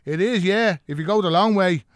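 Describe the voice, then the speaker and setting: A man's voice: high voice, fairly quick, no room sound, studio quality, close to an everyday voice. An actor playing a matey Dublin taxi driver with a salesman's patter, in an audio drama. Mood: chummy. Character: chummy, self-promoting male Dublin taxi driver.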